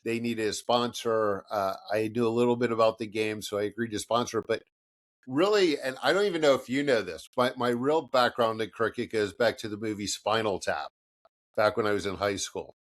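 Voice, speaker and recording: A man's voice: lowish voice, fairly quick. A middle-aged American man chatting casually as a podcast co-host, rambling and self-deprecating with frequent laughs. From United States.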